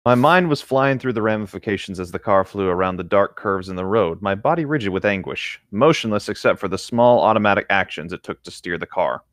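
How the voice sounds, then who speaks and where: A man's voice: on the low side, lively intonation, fairly quick, hardly any pauses, fairly bright tone, no echo, clean studio sound. A man chatting casually and reading a novel aloud with friends as a podcast co-host on a voice call. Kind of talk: casual chat and group read-aloud of a novel. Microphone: close mic on an online voice call.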